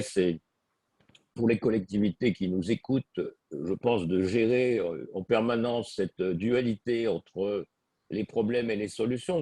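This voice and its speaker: Male webinar guest, lowish husky voice, halting, repetitive phrasing. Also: warm tone, dry recording. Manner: hesitant, repeating words. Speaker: guest speaker. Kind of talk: webinar presentation.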